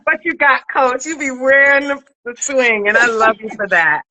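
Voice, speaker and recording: A woman's voice: high voice, normal speed, sharp tone, low-quality recording. A middle-aged woman hosting a casual live chat in an effusive, affectionate manner. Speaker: podcast writer-director hosting a live chat.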